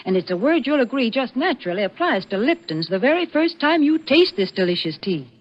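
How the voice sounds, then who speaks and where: A cheery, wholesome sponsor's saleswoman from 1940s radio. Her voice is fairly high and very animated, with neat, persuasive phrasing. The broadcast sounds old and slightly muffled. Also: a little fast. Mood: cheerful selling. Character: cheery, wholesome female tea saleswoman sparring with host. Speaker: sponsor's spokeswoman.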